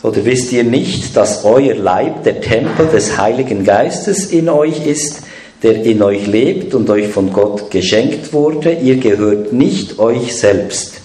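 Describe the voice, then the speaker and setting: A man's voice: mid-range voice, lively intonation, takes it slowly, warm tone, slight background noise. A man interpreting a sermon live, phrase by phrase, with some hesitations. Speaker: church interpreter. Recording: echoey hall.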